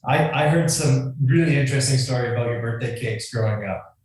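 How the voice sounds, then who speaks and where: A man's voice: mid-range voice, brisk, a little mellow, slight background noise. A man co-hosting a podcast, bantering casually and laughing along with his guest. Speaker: podcast co-host, former hockey player. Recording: very echoey, from far off.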